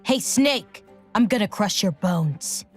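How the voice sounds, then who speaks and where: A woman's voice: mid-range voice, lively intonation, slow pace, slightly rough, soft background music. A brash, defiant young warrior woman. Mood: defiant, threatening. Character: angry, rebellious young armored warrior girl with metal powers. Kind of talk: video game character voice lines.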